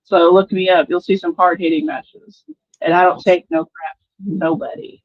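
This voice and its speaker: A woman's voice: on the low side, little pitch movement, normal speed, no room sound. Woman wrestler, confident, dry dull-sounding audio. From Dallas, Texas. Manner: confident, tough talk. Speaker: professional wrestler guest. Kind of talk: casual interview chat.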